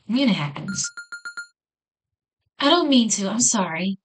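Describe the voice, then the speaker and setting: A woman's voice: medium pitch, sing-song, measured pace, slightly rough. A woman reading visual novel text aloud as a gaming host, in an informal way with casual reactions. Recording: music behind the voice.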